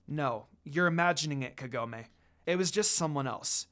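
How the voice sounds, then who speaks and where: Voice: medium pitch, normal speed, a bit bright, pitched below the performer's own voice, lightly acted. A reader voicing a sad, defiant young woman from a fan story in an emotional, dramatic way. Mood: disbelieving, nervous.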